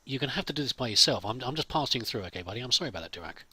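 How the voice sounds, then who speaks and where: A man gives chatty, casual commentary as he role-plays through a video game. His voice is very bright yet husky and moves up and down freely as he muses aloud. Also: medium pitch, animated, rapid-fire. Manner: chatty, musing to himself. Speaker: let's play host.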